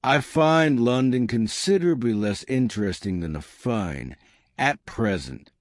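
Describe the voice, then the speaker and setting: A man's voice: medium pitch, lively intonation, unhurried, no room sound, clean studio sound, lightly acted. A man voicing an earnest, courteous army colonel in an audiobook, speaking formally and directly. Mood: warmly teasing. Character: earnest, courteous army colonel, a plain-spoken gentleman suitor. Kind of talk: audiobook character dialogue.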